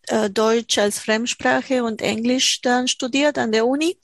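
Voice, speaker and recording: A woman's voice: on the high side, no room sound. A middle-aged woman from Argentina, a native Spanish speaker with an Argentine accent, answering interview questions conversationally and searching for words. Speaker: interview guest, language teacher.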